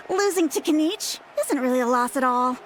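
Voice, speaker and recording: Very high, bubbly spectator. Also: female voice, wildly swooping pitch, measured pace, heavy background noise, exaggerated voice. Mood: eager. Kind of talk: anime-style game acting.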